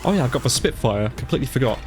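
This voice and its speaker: A man giving casual live commentary over gameplay, a bit congested from a cold, chatty and reacting out loud as he plays. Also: mid-range voice, very animated, very fast, faint music behind. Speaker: gaming commentator.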